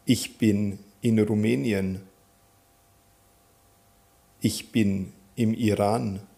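A man teaching a German lesson, calmly naming countries and repeating example sentences for learners to follow. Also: lowish voice, very slow, creaky, breathy edge. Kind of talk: slow, clear teaching with drill repetitions.

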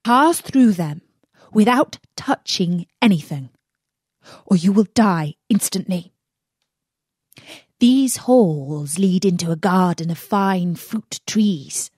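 A woman voicing a scheming, bossy male magician in a storybook, with a loud, deliberate, commanding manner. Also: lowish voice, slightly rough, no room sound, studio quality, heavily acted.

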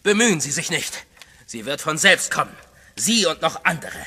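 An actor playing a menacing gunman in league with the undead, speaking with smooth, mocking menace. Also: fairly high pitch, sing-song, normal speed, bright, forceful tone, full character voice. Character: menacing gunman serving the undead, male adult. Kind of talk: radio drama acting.

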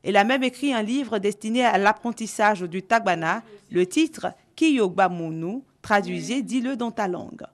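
A woman narrates a television news report in a measured, clear voice-over. Her pitch sits mid-range, and she reads the script steadily. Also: expressive.

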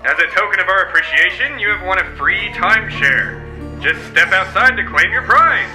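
A slick alien robot salesman voiced by a man in a campy sci-fi radio play, high and very animated, with showy announcer patter over loud music. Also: moderate pace, poor audio. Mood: salesy. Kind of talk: campy retro sci-fi audio drama acting.

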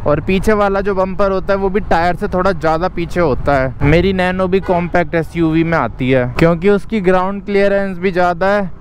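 A man's voice: sits high, brisk, few pauses. Chatty young man vlogging over traffic. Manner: chatty, relaxed, addresses viewers directly. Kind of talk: casual vlog narration while riding and driving. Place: on a scooter in city traffic, later inside a small car. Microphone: action camera mic. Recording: traffic and engine noise behind. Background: traffic noise.

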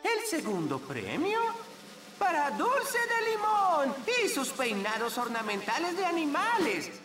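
High, swooping tiny berry announcer. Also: female voice, huge pitch swings, a little slow, music in the background, full character voice. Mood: ceremonious. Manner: showy, jokey presenting. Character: tiny chirpy berry creature, show announcer and comedian. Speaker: master of ceremonies. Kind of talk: animated cartoon stage announcing. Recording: roomy, distant, very noisy stage sound.

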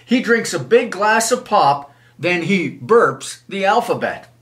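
An older man reads a children's storybook aloud in an expressive, measured way. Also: sits high. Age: older, 70s. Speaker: children's author reading own book. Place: small wood-panelled room, indoors.

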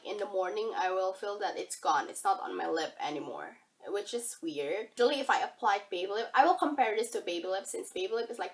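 Young female beauty vlogger, husky cold-roughened voice, upbeat, rambling delivery, recorded on a camera close by. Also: mid-range voice. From Indonesia. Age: young adult. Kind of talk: casual product review vlog. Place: bedroom, indoors. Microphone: camera facing her at arm's length.

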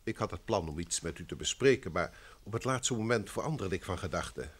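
A man's voice: low voice, animated, brisk, slightly rough. An actor in an old Dutch radio play, playing a polite, wealthy businessman, earnest and troubled, with a formal, courteous manner.

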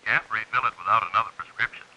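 A man's voice: fairly low pitch, very fast, sharp tone, poor audio. An American man acting a fussy, wary pharmacist in a 1950s film, officious and hesitant, heard through a telephone. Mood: businesslike, questioning. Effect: telephone filter. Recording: hissy old film, voice over telephone.

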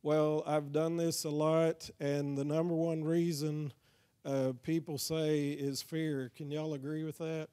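This is a Texan man with a soft, warm-edged tone that sits slightly high for a male voice. He preaches like an evangelist leading a training session from the pulpit. His delivery is plain-spoken and folksy, and he keeps inviting the congregation to agree. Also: flat delivery, a little slow, clean tone. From Texas, United States. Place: church, speaking to a congregation.

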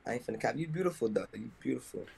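A man's voice: mid-range voice, animated, very fast, creaky, crowd noise. A man from New York flirting over a video call, rambling in slang and repeating himself. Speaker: dating show contestant, streamer.

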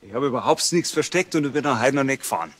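An actor playing a defensive, indignant villager with a husky voice in an audio drama. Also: male voice, medium pitch, expressive, fast, fairly bright tone.

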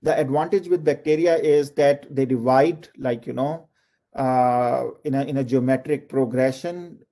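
A man's voice: mid-range voice, unhurried, warm tone. A middle-aged man from Pakistan giving an online lecture from home over a video call, chatty and full of "like, you know" fillers. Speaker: university lecturer.

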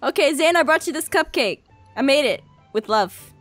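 A woman's voice: high-pitched, expressive, normal speed, a bit bright, dry recording, soft background music. A woman playing a cute, bubbly young woman in a Minecraft roleplay, gushing and easily flustered, with a protective streak. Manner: gushing, whiny, stammering. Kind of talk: animated improvised Minecraft roleplay.

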